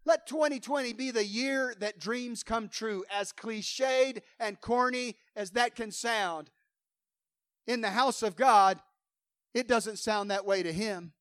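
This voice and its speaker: A man preaching with fervor, his voice pitched high for a man and slightly hoarse. He builds emphasis as he quotes scripture. The sound is dry and close, with no room echo. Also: slow pace, a bit bright. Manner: fervent preaching, quoting scripture with rising emphasis. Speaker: pastor. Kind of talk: sermon.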